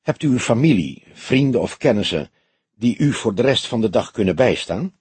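A man's voice: medium pitch, very animated, a little mellow, slightly rough, no echo. A man voicing a weary old police detective who speaks formally and carefully in an audiobook. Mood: sympathetic. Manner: formal, measured, cautious.

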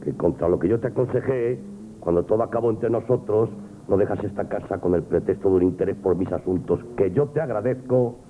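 An actor playing a stern, proud shopkeeper, blunt and scolding, in a Spanish radio play. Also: male voice, lowish voice, a little fast, natural voice. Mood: cold, reproachful. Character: stern, wounded, proud shopkeeper, father of a daughter. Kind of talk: Spanish radio theatre acting, 1970s. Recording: muffled, noisy old radio broadcast.

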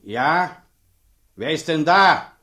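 An actor playing a middle-aged, gruff and suspicious village priest in a radio drama, husky, blunt and talkative. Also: male voice, fairly high pitch, animated, drawn-out words, sharp tone, full character voice.

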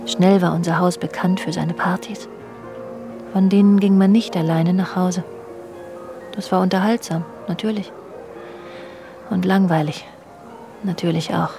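A woman's voice: fairly low pitch, steady tone, unhurried, vocal fry, long pauses. An actress in a German radio drama playing a worldly wife who confides in her husband about their restless, jealous marriage. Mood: wistful, reflective. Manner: literary, confiding, addressing her husband directly. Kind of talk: German radio drama, intimate narration and dialogue. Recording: music behind the voice. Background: music.

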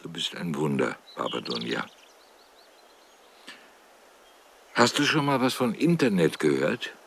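Wry gravelly bass guy, sardonic and brooding, swingy intonation, radio drama, nature noise behind. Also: huge pitch swings, normal speed, a bit bright, exaggerated voice. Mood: teasing, curious. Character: world-weary, bookish, sardonic man, well travelled.

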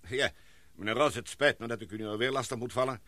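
An actor playing an older titled gentleman in a Dutch radio play, courteous, composed and used to taking command. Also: mid-range voice, quick pace, no echo, natural voice. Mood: composed, probing.

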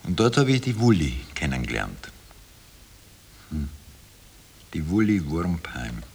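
An actor in a 1960 radio drama playing a bitter Viennese waiter who talks in a colloquial, confiding way. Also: male voice, a bass voice, very animated, measured pace, vocal fry, darkish tone, husky, exaggerated voice. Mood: weary, confiding. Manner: colloquial confiding narration, obliging when serving. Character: bitter Viennese waiter recalling his life to a woman.

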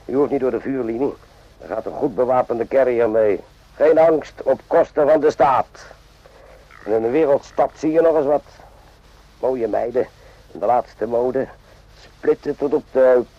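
An actor playing a cynical, world-weary army sergeant in a radio drama, offhand and sarcastic, in short clipped phrases. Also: male voice, medium pitch, normal speed, pauses often, lightly acted. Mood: cynical, teasing. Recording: muffled lo-fi old radio recording.

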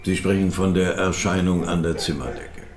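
A man playing a probing police detective in a German radio drama, matter-of-fact but quick to get exasperated. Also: sits low, fairly even intonation, a little hiss or hum, close to an everyday voice. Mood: measured, probing. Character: probing, brisk male police detective questioning witnesses. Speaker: actor in a radio play.

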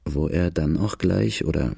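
A man playing a grave outlaw who recounts his hard life in a radio drama, measured and plain-spoken. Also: low voice, gravelly, dark tone, husky, no echo, studio quality, exaggerated voice. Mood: grave, reflective. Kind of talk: first-person radio drama narration.

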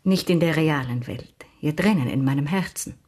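An actress playing a proud, blunt, melancholy older spinster in a radio drama, speaking in a formal, measured way. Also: female voice, deep voice, a little mellow, breathy edge. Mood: melancholy, reflective.

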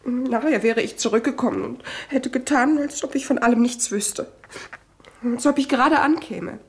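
An actress playing a frightened housekeeper confessing to a theft in a 1970s radio crime play. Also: female voice, fairly high pitch, normal speed, close to an everyday voice. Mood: pleading. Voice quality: pleading, defensive. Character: frightened, tearful housekeeper caught stealing.

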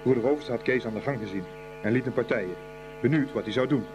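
A man's voice: medium pitch, fast, lo-fi sound, noisy background, natural voice. A man narrating a scripted boys' school story for a radio play. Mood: storytelling.

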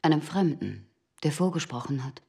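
Husky, guarded shopkeeper's wife. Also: female voice, low voice, expressive, normal speed, warm tone, exaggerated voice. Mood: guarded, indifferent. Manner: terse, impassive, guarded. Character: guarded, stony, indifferent female shopkeeper, a wife. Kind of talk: German radio drama acting.

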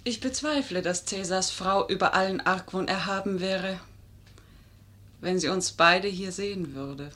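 A woman's voice: on the low side, measured pace, fairly bright tone. An actress playing a clever, poised, flirtatious lady in a theatrical, articulate 1950s radio play style. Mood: sly.

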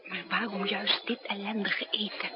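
An actress playing the uneasy, questioning companion of a fugitive spy in a plaintive manner, in a 1960s radio drama. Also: female voice, mid-range voice, little pitch movement, normal speed, lightly acted. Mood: insistent. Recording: muffled, noisy 1960s radio recording.

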